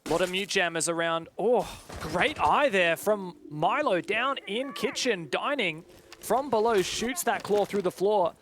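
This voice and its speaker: A high-voiced man commentates a competitive video game live. His phrasing is clipped and dramatic, in the manner of a play-by-play caster. There is some light game noise behind him. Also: lively intonation, measured pace, a bit bright.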